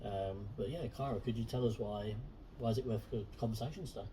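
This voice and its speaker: Mellow-voiced male host, relaxed. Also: lowish voice, fast, recorded from a distance, a little hiss or hum, rough recording. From Southern Hemisphere. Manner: relaxed, friendly, many ums. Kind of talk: casual interview chat.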